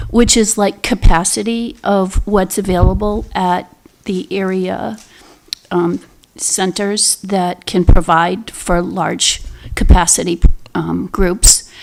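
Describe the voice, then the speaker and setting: A soft-spoken woman presenting on behalf of a senior center at a town meeting, speaking into a table microphone with frequent fillers. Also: medium pitch, creaky. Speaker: senior center representative giving public comment.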